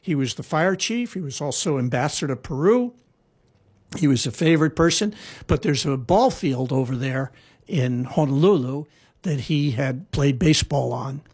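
A man's voice: a little high, expressive, normal speed, a little mellow, breathy edge. A man telling historical stories in a casual conversation, rambling with frequent fillers and restarts. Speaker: guest storyteller. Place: lives in Hawaii.

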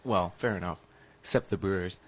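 A man in his twenties co-hosting a sports talk show, joking around with a raspy, crackly voice. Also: deep voice, a little fast. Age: 20s. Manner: joking, self-deprecating. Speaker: sports radio co-host. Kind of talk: casual banter.

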